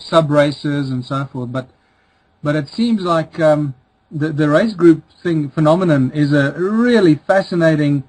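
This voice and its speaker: Middle-aged male interview guest, slightly high voice, rambling and hesitant. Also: darkish tone, poor audio. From Czech Republic, raised in South Africa. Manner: rambling, many restarts and ums. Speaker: guest author. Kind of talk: casual interview talk.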